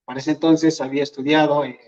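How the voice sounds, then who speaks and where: A man's voice: a little high, flat delivery, a little mellow. Earnest man. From Peru. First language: Quechua. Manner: earnest, halting, thinks aloud. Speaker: invited speaker, language educator. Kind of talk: public talk at an event. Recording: dull lo-fi event recording.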